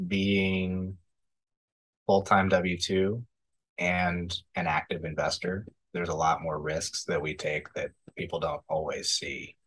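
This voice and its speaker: A man in his thirties with a very low, husky voice. He answers in a measured, thoughtful way, pausing on ums. He is on a video call from a room at home. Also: a bit bright, low-quality recording. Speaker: podcast guest, real estate investor. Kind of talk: casual interview answers.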